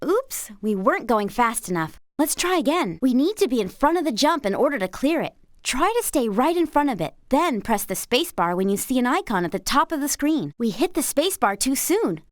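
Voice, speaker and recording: An actress playing a cheerful, encouraging young horse rider in a video game, with an American accent. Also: female voice, sits high, very animated, moderate pace, no room sound, studio quality. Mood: bright, excited. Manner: perky, encouraging. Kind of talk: scripted video game character dialogue.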